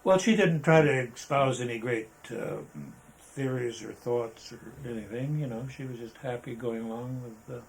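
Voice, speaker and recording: An older American man recalls his working past in a casual interview. His delivery is halting and meandering, with mid-range pitch. The recording carries some background noise and room ambience. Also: creaky, rough recording. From Arizona, United States. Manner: hesitant, halting, rambling. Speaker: interviewee, veteran photographer. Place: indoors, photographer's studio.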